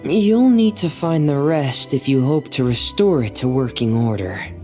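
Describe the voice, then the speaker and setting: An actor voicing a gentle, earnest young boy diver in a video game, polite, subdued and a little hesitant. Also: mid-range voice, animated, unhurried, natural voice. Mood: quietly impressed. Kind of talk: video game character dialogue. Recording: faint music behind the voice.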